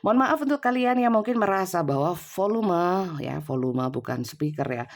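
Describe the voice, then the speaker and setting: A woman's voice: fairly low pitch, expressive, normal speed. A woman with a cold giving a casual tarot reading into her phone's built-in microphone. She talks loosely, with lots of fillers. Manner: chatty, many fillers and tag "ya". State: has a cold. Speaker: tarot reader. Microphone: phone's built-in mic, no external mic.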